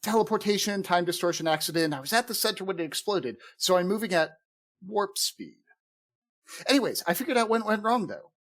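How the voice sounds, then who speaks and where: A man's voice: high voice, lively intonation, brisk, a bit bright. A man playing a bumbling, obsessive head gnome who rambles eagerly in a comedic fantasy audio drama. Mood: eager, confessional.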